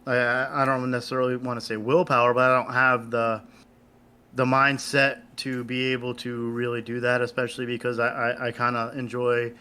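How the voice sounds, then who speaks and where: A man's voice: mid-range voice, completely flat delivery, a little fast, sharp tone, clean tone. A man co-hosting a podcast, talking casually and hesitantly, often repeating his words. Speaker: podcast co-host. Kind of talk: casual conversation.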